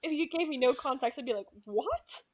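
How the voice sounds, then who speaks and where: A woman co-hosting a casual podcast, giggly and relaxed in her brief replies. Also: sits high, fairly quick. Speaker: podcast co-host.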